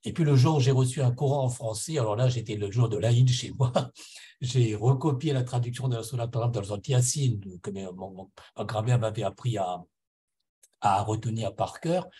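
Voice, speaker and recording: Mellow-voiced man musing earnestly. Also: mid-range voice, normal speed. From Réunion island. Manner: searching, hesitant, restarts and repeats words; earnest, softly reflective. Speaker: conference panel speaker. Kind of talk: personal spiritual testimony at a conference.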